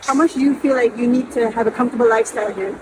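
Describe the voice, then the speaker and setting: Woman, street interviewer, high voice, fast brisk questions. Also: background noise. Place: outdoor city street. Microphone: holding a handheld mic toward others.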